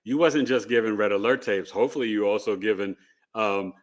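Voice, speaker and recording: A man hosting an interview podcast, chiming in eagerly with quick backchannels. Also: lowish voice. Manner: eager backchannels, jumps in excitedly. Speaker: podcast host. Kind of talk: casual interview.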